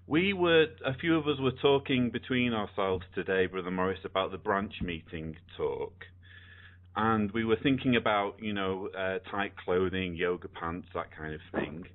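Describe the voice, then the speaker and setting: A man's voice: deep voice, normal speed, narrow, tinny audio. A British man in his thirties giving casual commentary as a vlogger. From United Kingdom. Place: small indoor room at a desk.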